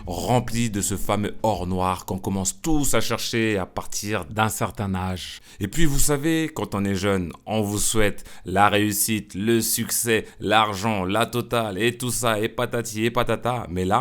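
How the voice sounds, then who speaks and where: A man's voice: measured pace, few pauses, fairly bright tone. A middle-aged man hosting a solo podcast, joking and chatty, full of asides and self-mockery. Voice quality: smooth low voice. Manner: playful, self-mocking, lots of asides and "hein". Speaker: podcast host. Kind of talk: casual solo podcast monologue.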